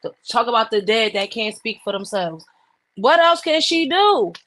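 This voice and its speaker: A woman's voice: on the high side, lively intonation, normal speed, a bit bright, no room sound. A woman co-hosting a casual podcast, blunt and fired up, swearing as she argues her point. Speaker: podcast co-host. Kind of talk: heated casual chat.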